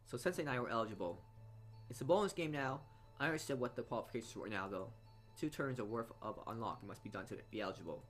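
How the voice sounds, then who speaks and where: A man with a mid-pitched voice reads visual novel narration aloud at a quick clip. He trips over words and restarts phrases, with music playing behind him. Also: animated. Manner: stumbles and repeats words while reading. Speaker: let's play host. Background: game music.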